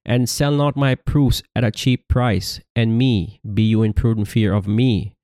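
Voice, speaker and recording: A man whose native language is Urdu gives a scripture study lecture, reading passages aloud and explaining them with many halting fillers and restarts. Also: mid-range voice, fairly even intonation, warm tone, dry recording, clean studio sound. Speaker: religious teacher.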